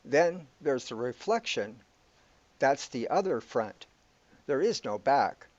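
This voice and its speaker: Man as slow, explanatory cosmic companion. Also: mid-range voice, animated, a little mellow, no room sound, highs cut off. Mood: matter-of-fact. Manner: deliberate, explanatory. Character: matter-of-fact male companion explaining the cosmos. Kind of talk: radio play acting.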